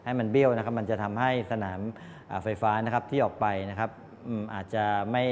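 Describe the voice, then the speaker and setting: A middle-aged man from Thailand giving a classroom lecture, explaining haltingly with frequent polite tag particles. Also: medium pitch. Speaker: college lecturer in medical electronics. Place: medium-sized indoor classroom with wooden desks. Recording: echoey classroom, distant pickup, background noise.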